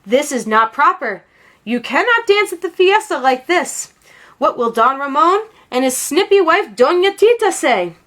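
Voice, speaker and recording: Woman voicing a high, fretful storybook mother. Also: sing-song, a little slow, fairly bright tone, heavily acted. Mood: stern.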